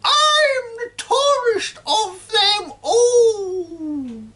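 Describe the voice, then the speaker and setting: A woman voicing a nasty old grandmother who shrieks and cackles in a shrill, screeching voice. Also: animated, extremely slow, fairly bright tone, full character voice. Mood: cackling shrieking. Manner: gleeful, mocking.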